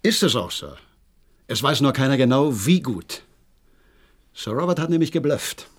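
An agitated horse trainer played by a male actor gives his account in a radio drama. His mid-pitched voice swings widely as he reports eagerly and dramatically, always deferential to his betters. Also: lively intonation, moderate pace, natural voice. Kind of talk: German radio play acting.

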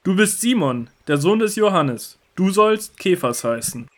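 An actor playing a calm, authoritative young teacher in a biblical radio drama, gentle but commanding. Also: male voice, sits high, measured pace, heavily acted.

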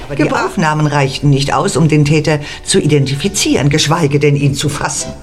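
A woman's voice: low-pitched, fairly quick, soft background music, close to an everyday voice. An actress playing a self-assured female painter telling her story in a German audio drama. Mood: calm storytelling.

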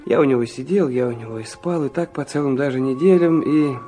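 A man's voice: mid-range voice, a little fast, dark tone, faint music behind, lightly acted. An actor playing an ardent, touchy, impulsive young man who narrates his own story fervently in a radio drama. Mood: confessional, agitated. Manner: fervent, dramatic, emphatic repetitions.

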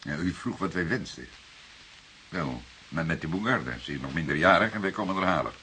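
An actor playing a senior police detective in a Dutch radio drama, deliberate, formal and dryly sardonic, with unhurried authority. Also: male voice, deep voice, animated, sharp tone, full character voice. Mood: stern, sardonic. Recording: hissy old radio play recording.

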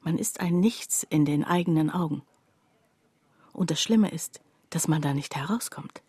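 An actress playing a reflective woman who narrates a radio drama about a destitute friend in a confiding, deliberate way, with a husky, breathy voice. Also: deep voice, darkish tone, dry recording, full character voice. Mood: sober, pensive. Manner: confiding, deliberate, addressing the listener directly. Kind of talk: intimate radio drama monologue.

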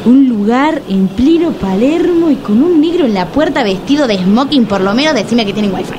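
A woman's voice: on the high side, expressive, moderate pace, noisy background, lightly acted. An actress with a Rioplatense Spanish accent playing a nervous, suspicious woman who has just separated. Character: anxious newly separated woman, Cecilia.

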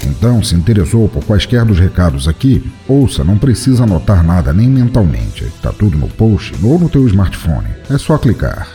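A man with a Brazilian Portuguese accent hosting an audio drama podcast, reading out notices and thanks in a theatrical, playfully dark tone. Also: low-pitched, big pitch swings, normal speed, few pauses, faint music behind. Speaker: podcast host.

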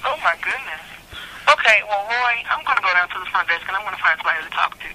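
A woman's voice: medium pitch, expressive, quick pace, bright, forceful tone. A woman, a hotel guest, complaining on the phone, polite but growing exasperated. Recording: noisy phone line.

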